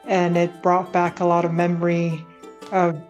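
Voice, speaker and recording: A woman from Hong Kong, a native Cantonese speaker, leaves a friendly voice message with small hesitations. Her voice is fairly low and stays flat, with soft music faintly behind. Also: moderate pace. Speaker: listener leaving a voice message. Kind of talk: casual voice message.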